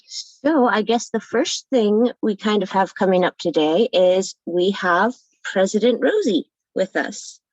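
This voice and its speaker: A woman's voice: medium pitch, animated, measured pace, hardly any pauses, darkish tone, no echo. A woman chairs a student meeting, calling roll and passing questions to the guest in a casual tone. Her voice sits mid-range and is heard dry, with lo-fi call audio. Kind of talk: running a meeting.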